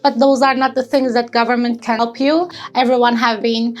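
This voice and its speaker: A young woman from Turkey, speaking in a personal testimonial interview as an intern and entrepreneur. She talks earnestly, often pausing with fillers and restarting sentences as she searches for words. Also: on the high side, steady tone.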